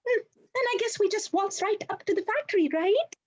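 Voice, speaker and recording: A woman's voice: extremely high pitch, lively intonation, a little fast, dull audio. A woman voicing a panicky, sarcastic little male monster sidekick in a scripted podcast, complaining in long exasperated bursts. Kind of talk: scripted podcast character reading.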